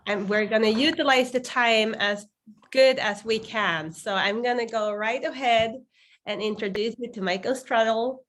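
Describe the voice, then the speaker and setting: A woman's voice: on the high side, fairly bright tone. A woman hosting an online team call, chatty and drawn out with many fillers. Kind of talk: casual online meeting hosting.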